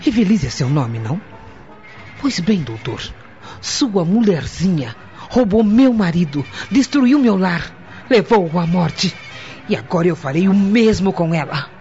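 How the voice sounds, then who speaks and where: An actress playing a bitter, sarcastic widow bent on revenge in a radio soap opera. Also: female voice, fairly low pitch, animated, a little slow, dark tone. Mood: bitter, menacing. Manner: sarcastic, insolent. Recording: muffled audio with music behind.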